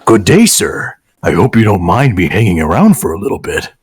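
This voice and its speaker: A man's voice: low voice, big pitch swings, brisk, breathy edge, no room sound, clean studio sound, heavily acted. A man voicing a courteous golden animatronic lion with formal, polite manners in a comic fan fiction. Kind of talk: comedic fan fiction read-aloud.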